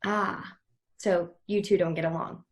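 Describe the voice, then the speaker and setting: A woman's voice: fairly low pitch, steady tone, a little slow, natural voice. A woman reading the part of a bold, restless young woman, frank and sarcastic, in a TV drama table read. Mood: curious, probing.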